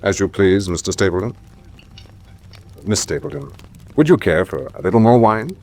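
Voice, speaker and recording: An animated, forthright gentleman landowner. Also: low voice, normal speed. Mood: courteous. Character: Sir Henry, forthright hot-tempered young gentleman landowner. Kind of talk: radio drama acting.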